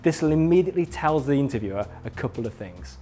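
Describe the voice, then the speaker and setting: A man narrates an instructional film in a medium-pitched voice. He explains each point with clear emphasis and encouragement, speaking straight to the learner. Faint music plays behind him. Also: animated, fast, dark tone. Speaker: instructor, presenter. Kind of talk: scripted instructional narration addressed to viewer.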